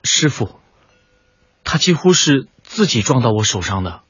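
A man's voice: mid-range voice, sing-song, unhurried, a bit bright, husky, dry recording, muffled recording, heavily acted. An actor playing a young male martial arts disciple, earnest, questioning and puzzled, in a Chinese radio drama. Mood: earnest, unsure.

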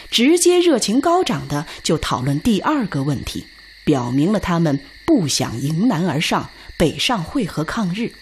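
A woman giving solemn, expressive scripted narration for a Chinese radio drama. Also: on the low side, a little hiss or hum.

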